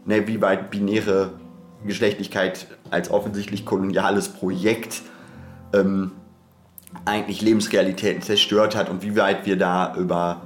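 A man with a fairly low voice talks unscripted in a podcast discussion. His phrasing is academic and wordy, full of digressions and self-interruptions. The room echoes clearly around him, with music behind the voice. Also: little pitch movement, moderate pace. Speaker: podcast speaker.

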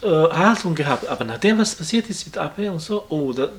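A man with a somewhat high speaking voice gives a halting, conversational interview answer. He repeats small words and restarts sentences often. He grew up outside this country. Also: lively intonation. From outside Germany. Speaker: interviewee, acquaintance of the victim.

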